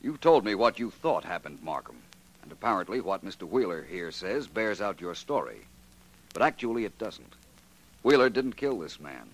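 An actor playing a composed, analytical detective in a 1940s radio drama, with measured, assured delivery. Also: male voice, low-pitched, sing-song. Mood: assured explaining. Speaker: lead actor in a detective series. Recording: noisy old radio recording with hum.

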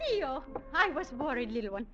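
A woman's voice: sits high, big pitch swings, moderate pace. A woman playing a trusting, devoted sweetheart, tender and emotional, in an old radio drama. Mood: worried. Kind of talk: 1940s-50s radio drama acting. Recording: dull old radio broadcast with music.

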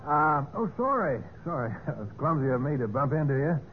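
An actor playing a bumbling, apologetic hotel guest in a 1950s radio drama, hesitant and stammering. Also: male voice, fairly high pitch, big pitch swings, fairly quick. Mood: flustered. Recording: muffled old radio broadcast, faint noise.

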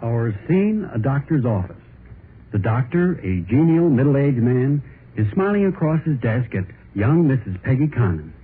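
A man's voice: mid-range voice, big pitch swings, normal speed, breathy edge, phone-quality sound. A man giving scripted scene-setting narration in 1930s radio drama style.

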